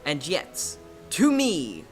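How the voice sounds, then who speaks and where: Woman playing a melancholy, theatrical young prince, low voice. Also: wildly swooping pitch, faint music behind, exaggerated voice. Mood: grandly melancholy. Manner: theatrical declaiming with weighty pauses. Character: melancholy, grandiloquent young male prince, wryly exasperated.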